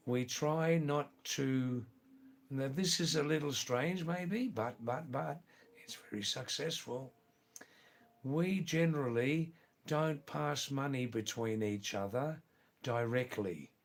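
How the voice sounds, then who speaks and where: An older man with an Anglo-Indian accent chatting casually on a live stream, answering viewers' comments with lots of fillers and restarts. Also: medium pitch. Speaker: livestream host.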